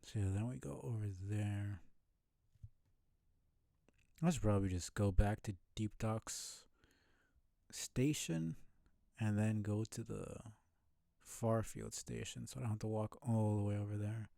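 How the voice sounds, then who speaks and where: A man's voice: lowish voice, a little slow, gravelly, long pauses, warm tone, dry recording, clean studio sound. A man doing casual live gameplay commentary, thinking aloud and swearing now and then. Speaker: live streamer playing a video game. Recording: faint background noise.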